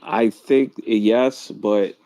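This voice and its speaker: A skeptical man argues in a casual panel debate, feeling his way through each sentence. His voice is low and mellow. It is recorded close and dry. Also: steady tone, dry recording. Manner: skeptical, drawn-out, searching for words.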